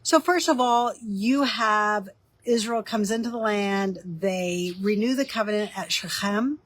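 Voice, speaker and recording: A woman's voice: mid-range voice, a little slow, no echo. Slightly nasal, stuffy woman explaining. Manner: relaxed and conversational, with frequent ums and restarts. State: stuffy from a cold. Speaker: author and teaching host. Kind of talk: casual teaching talk.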